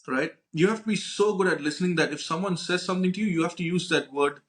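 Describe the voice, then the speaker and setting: A man's voice: high voice, fast talker, fairly bright tone. A young man telling casual anecdotes as a podcast co-host, slangy and sweary, acting out conversations and often checking in with "right?". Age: young adult. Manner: informal, slangy, acts out dialogue, frequent "right?" tags. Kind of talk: casual anecdotal storytelling with profanity.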